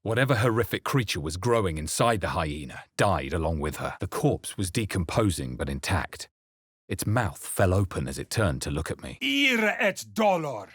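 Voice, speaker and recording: Game hero: grave male adventurer, deep and rough-edged, theatrical, measured, recorded dry and close. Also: big pitch swings, a bit bright, no echo, full character voice. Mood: forceful incanting. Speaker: video game player character. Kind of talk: dramatic fantasy game narration, barks and spell incantations.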